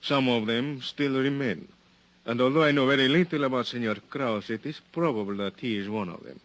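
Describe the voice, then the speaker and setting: An actor playing a formal, stern police lieutenant with a Mexican accent in an old time radio drama, stiffly courteous. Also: male voice, medium pitch, brisk, fairly bright tone, natural voice. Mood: measured. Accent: Mexican Spanish.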